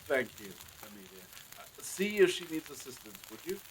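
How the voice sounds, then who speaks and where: Man acting genteel, pious gentleman, faint crackle. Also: mid-range voice, very animated, lightly acted. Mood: fond. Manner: formal, measured, hesitant. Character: pious, genteel village gentleman, older father, gently earnest. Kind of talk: period audio drama acting.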